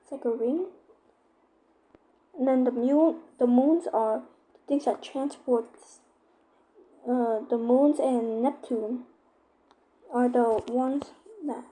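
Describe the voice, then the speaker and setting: A female speaker giving a casual, informal explanation, often halting and searching for words. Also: mid-range voice, steady tone, dark tone, low-quality recording. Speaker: YouTuber explaining horror lore.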